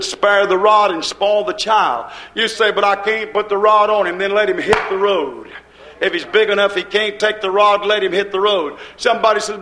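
Man, preacher, fiery sermon, repetitive rising cadence, high voice, roomy church sound. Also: fairly bright tone.

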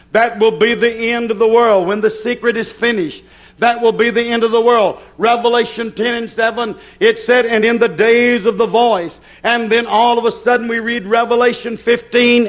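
A man's voice: extremely high pitch, a bit bright. A man preaching a fervent apocalyptic sermon, emphatic and repetitive, often asking questions and answering them himself. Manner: emphatic, repetitive, incantatory phrasing.

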